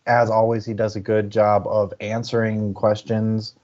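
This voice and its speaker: Male podcast co-host, somewhat low-pitched, informal book-club delivery, mildly lo-fi sound. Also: monotone, measured pace, darkish tone. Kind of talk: casual book-chat livestream hosting.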